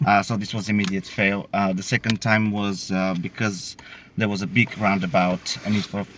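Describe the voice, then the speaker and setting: A young man with a Bulgarian accent who speaks English hesitantly, with many pauses and fillers. His voice is very low. The recording is muffled, with faint road noise behind. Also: flat delivery, fairly bright tone. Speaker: learner driver. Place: inside a car. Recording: dull in-car audio.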